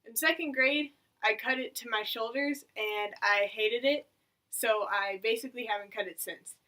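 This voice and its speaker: A woman's voice: on the high side, fairly even intonation, normal speed, pushed and bright, far from the mic. A young woman of about eighteen from the United States, talking casually to her camera as a vlogger in a chatty, rambling, self-mocking way. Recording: voice a little away from the mic.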